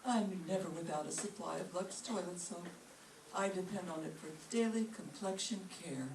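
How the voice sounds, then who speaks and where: A devoted customer acted by a woman gives a radio-style soap testimonial. Her slightly low voice comes from a distance in an echoey, noisy room. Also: normal speed, natural voice. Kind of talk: old-time radio commercial acting. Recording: echoey room, distant mic, clear noise.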